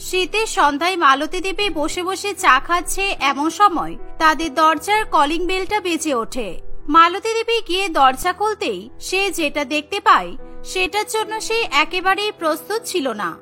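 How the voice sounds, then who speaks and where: A woman's voice: extremely high pitch, expressive, moderate pace, bright, forceful tone, soft background music. A woman reading scripted narration for a cartoon story as its narrator.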